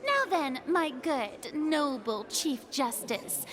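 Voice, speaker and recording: An actress playing a haughty, theatrical young woman in a video game, delivering pompous, dramatic, drawn-out speech. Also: sits high, lively intonation, a crowd in the background. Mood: triumphant.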